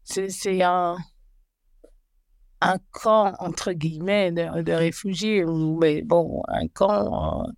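Slow, hesitant woman telling her story. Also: lowish voice, fairly even intonation, vocal fry, dry recording, studio quality. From Belgium and Rwanda. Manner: hesitant, searching for words, many restarts. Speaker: guest. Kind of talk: personal life story interview.

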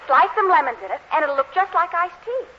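A woman playing a brisk, decisive, scheming young woman in a 1940s radio sitcom. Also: very high voice, expressive. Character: bossy, scheming, quick-witted young woman. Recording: muffled, faintly noisy 1940s radio recording.

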